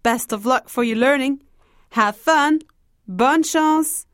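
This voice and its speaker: A woman's voice: sits high, takes it slowly, no room sound, clean studio sound. French woman co-hosting a language lesson. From France. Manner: clear, careful model pronunciation. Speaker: language course co-host. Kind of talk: scripted lesson speech.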